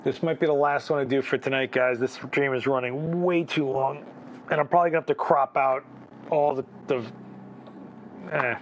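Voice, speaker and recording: A man's voice: mid-range voice, fairly even intonation, moderate pace, a little hiss or hum, low-quality recording. A man hosting a live photo critique, chatting casually, thinking aloud with restarts and asking "right?", his voice a bit worn. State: losing his voice. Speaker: photography livestream host.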